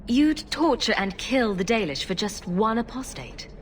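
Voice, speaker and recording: A woman playing a calm, diplomatic hero in a fantasy video game, earnest and reasoning. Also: on the high side, a little slow. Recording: noisy game cutscene capture.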